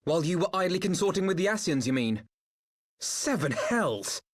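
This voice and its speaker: An earnest young hero for a game cutscene, raspy, defiant, pitch moves around a lot. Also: sing-song, normal speed. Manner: formal, impassioned. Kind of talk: video game cutscene voice acting.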